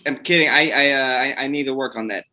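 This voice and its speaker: Man playing a bright, breezy showbiz schmoozer. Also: mid-range voice, fast talker. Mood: breezy, flippant. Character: flippant, joking showbiz man, breezy and overfamiliar. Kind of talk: screenplay table read.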